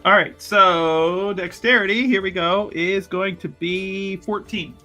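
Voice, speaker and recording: High-pitched, bright-voiced playful man. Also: slow, faint music behind. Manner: playful, interjecting, laughs easily. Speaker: tabletop roleplaying player. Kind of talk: casual, joking game chat.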